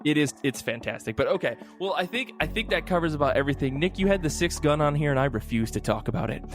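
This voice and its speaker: Fast-talking man hosting a podcast. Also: fairly high pitch, expressive, faint music behind. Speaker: podcast host. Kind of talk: casual podcast chat.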